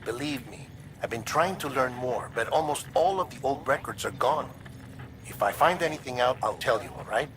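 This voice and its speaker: A man plays a talkative medical expert in a game cutscene. He explains in a mid-pitched voice with casual wryness and some evasiveness, over faint background noise. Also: animated, moderate pace, fairly bright tone, close to an everyday voice, low-quality recording. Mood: explanatory, slightly defensive. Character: chatty, evasive male medical expert briefing a colleague. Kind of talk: conversational video game cutscene acting.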